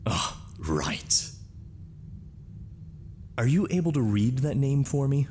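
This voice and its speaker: A man's voice: mid-range voice, big pitch swings, slow, darkish tone, slight background noise. A man acting a condescending, sarcastic lieutenant who questions people at a military hearing. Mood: sardonic, pressing. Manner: condescending, sardonic, interrogating. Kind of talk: screen drama acting.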